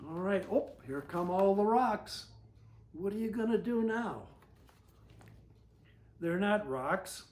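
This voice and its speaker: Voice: medium pitch, expressive, takes it slowly, long pauses, a little mellow, roomy sound. A storyteller reading a picture book aloud to children, breaking off for folksy, conversational asides. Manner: folksy, conversational commentary between read lines.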